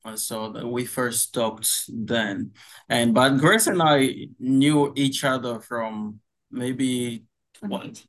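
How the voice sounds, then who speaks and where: Slow-talking man from Congo. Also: medium pitch, a bit bright, low-quality recording. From Democratic Republic of Congo. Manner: slow, hesitant with many fillers, laughs easily. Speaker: pastor, guest. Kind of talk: casual interview chat. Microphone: video call.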